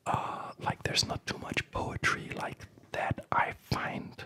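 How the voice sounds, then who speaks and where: Whispering man, rambling ASMR gamer. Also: sharp tone. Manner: rambling, halting, self-interrupting. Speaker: ASMR gaming video host. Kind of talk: whispered ASMR rambling commentary over gameplay.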